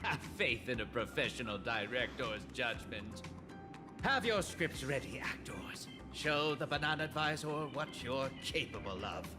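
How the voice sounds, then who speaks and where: A man voicing a polished, flattering film director in a video game who smoothly persuades his actors. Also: medium pitch, measured pace, close to an everyday voice. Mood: directing. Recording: game audio with loud background music.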